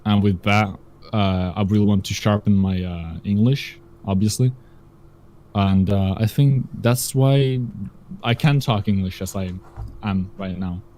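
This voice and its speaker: A young man chats on a live stream. his voice sits a little low, and he rambles as he gropes for words, leaning on "like" and "um" along the way. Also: a little slow, warm tone. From Russia. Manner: rambling, searches for words with many fillers. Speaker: guest. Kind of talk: casual stream chat.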